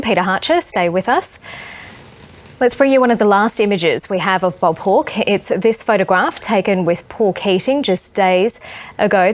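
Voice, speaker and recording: A woman with an Australian accent presenting live rolling news as the anchor. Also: mid-range voice.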